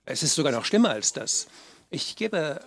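A man interpreting a conference panel live, speaking in halting pieces and often correcting himself. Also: fairly high pitch, sing-song. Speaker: simultaneous interpreter.

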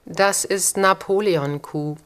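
A woman's voice: mid-range voice, slow pace. A woman speaking a short sample phrase for a recording.

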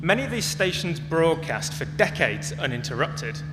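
A man's voice: a little high, sing-song, normal speed, music in the background. A man speaking as a conference presenter, explaining things in a prepared public talk. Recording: echoey hall with clear hum and noise.

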